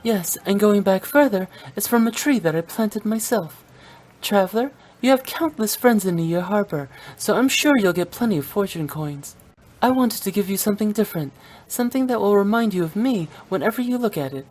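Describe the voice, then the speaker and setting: A woman's voice: mid-range voice, animated, moderate pace, darkish tone, lightly acted. A woman voicing a mischievous young woman funeral director in a video game, playful and teasing. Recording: game audio with faint music.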